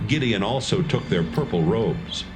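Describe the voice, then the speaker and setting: A man narrating a dramatised scripture reading with a measured storytelling delivery. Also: fairly low pitch, expressive, music in the background.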